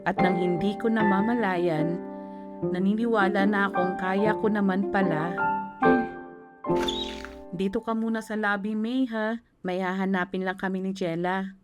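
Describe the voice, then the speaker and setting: A woman voicing a kind, reassuring aunt with a Filipino accent, gentle and conversational, in an animated short film. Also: medium pitch, a little slow, long pauses, close to an everyday voice. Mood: caring. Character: kind, reassuring aunt, born with cleft palate. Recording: music behind the voice.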